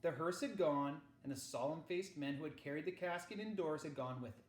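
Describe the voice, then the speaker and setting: A man reading a children's novel aloud as narrator, with measured, dramatic phrasing. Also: on the high side. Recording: echoey, roomy sound.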